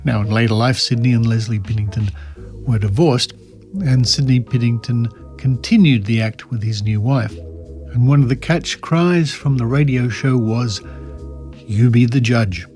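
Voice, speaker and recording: A man hosting a podcast, narrating and reading old articles aloud in a measured, deliberate way with dry asides. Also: medium pitch, darkish tone, soft background music. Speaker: podcast host and presenter.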